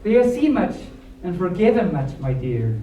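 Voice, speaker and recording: A storyteller acts out a sly storybook wolf, very pleased with itself. The words come soft and drawn-out, with lively swoops in pitch. The sound is roomy and a little distant from the mic. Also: muffled recording, a little hiss or hum, lightly acted. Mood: smug. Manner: soft, slow, drawn-out delivery. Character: self-satisfied sly wolf, soft-spoken and flattering. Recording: echoey room, recorded from far off.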